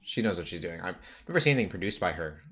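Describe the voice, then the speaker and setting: A man's voice: low voice, sing-song, rapid-fire, a bit bright. A man hosting a casual pop culture podcast, talking in a rambling way that drifts into tangents. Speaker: podcast host.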